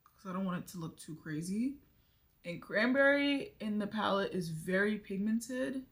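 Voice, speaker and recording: A woman's voice: medium pitch, animated, moderate pace. A young woman chatting casually to her viewers while doing her makeup, thinking aloud as she goes. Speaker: beauty vlogger. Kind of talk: casual makeup tutorial chat.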